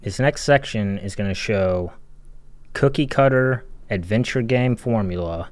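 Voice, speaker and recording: A man's voice: fairly low pitch, unhurried. A man giving a critical video game analysis voice-over in a casual, opinionated, emphatic way. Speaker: video essay commentator.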